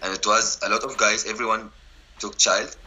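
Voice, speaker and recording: A man in his twenties from Syria with an Arabic accent, telling his story in halting, broken English full of restarts. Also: fairly low pitch, fairly even intonation, pushed and bright, poor audio. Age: 20s. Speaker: interviewee. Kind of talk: personal story, casual.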